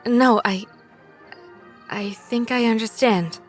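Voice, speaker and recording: An actress in an audio drama playing a gentle, earnest, literal-minded woman with a formal, precise way of talking. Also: fairly high pitch, takes it slowly, soft background music. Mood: hesitant, sincere. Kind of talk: understated audio drama acting.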